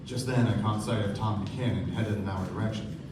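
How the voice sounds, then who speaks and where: A man's voice: lowish voice, steady tone, brisk, close to an everyday voice. A man acting an earnest, naive young man in a live radio play, both narrating and in dialogue. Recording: echoey stage, distant mic, noisy room.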